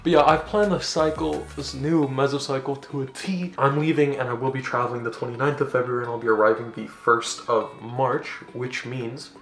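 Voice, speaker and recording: A young man vlogging casually, rambling and swearing, getting loud and excited at times. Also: medium pitch, brisk, few pauses, soft background music. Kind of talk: casual gym vlog talk. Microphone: camera mic.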